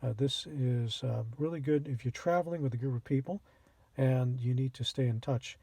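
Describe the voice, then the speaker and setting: A man hosting a casual how-to demonstration, explaining things plainly and step by step with a husky voice. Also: medium pitch, normal speed, dark tone, no room sound. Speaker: host of a radio how-to channel. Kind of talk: casual instructional product demonstration.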